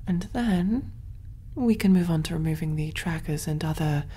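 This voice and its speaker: A woman voicing a kind, calm alien medic in a soft, breathy, reassuring ASMR roleplay style. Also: sits low, normal speed. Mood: soothing, attentive. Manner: gentle, hushed, reassuring, often near whisper.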